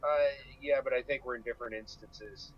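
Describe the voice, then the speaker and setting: A man's voice: medium pitch, fairly even intonation, brisk. Gamer guy, muffled mic, hesitant questions. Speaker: gamer on voice chat. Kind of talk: casual chat while gaming.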